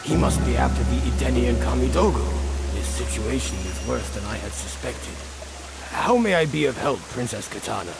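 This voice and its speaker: A man's voice: medium pitch, animated, moderate pace, vocal fry. An actor playing an earnest, weary older male champion in a video game cutscene, speaking formally. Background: music.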